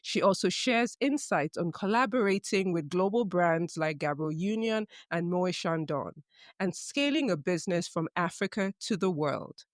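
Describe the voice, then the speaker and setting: A woman hosting a business interview podcast, reading a measured scripted intro and then chatting in a friendly, easy way with her guest. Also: fairly low pitch, animated, no room sound. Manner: measured, friendly questioning with light laughs. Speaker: podcast host. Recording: dry close sound with faint music under intro.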